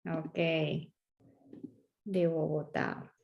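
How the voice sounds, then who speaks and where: A woman from southern Venezuela, with a Venezuelan accent that sounds a bit Colombian, hosting an online webinar and greeting attendees warmly by name. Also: sits low, level tone, drawn-out words, vocal fry, highs cut off. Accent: Venezuelan, slightly Colombian-sounding. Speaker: webinar host. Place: online video call.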